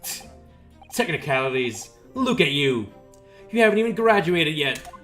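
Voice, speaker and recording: Game role: scornful court guard, read by a man, accusatory, animated, slightly high register. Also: measured pace, faint music behind. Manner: sneering, accusing. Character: hostile, scornful male court officer.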